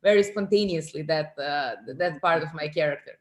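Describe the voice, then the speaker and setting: Serbian-accented actress, lower voice, roomy sound. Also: female voice, brisk. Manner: lively, laughs often, repeats words. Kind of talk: casual interview chat.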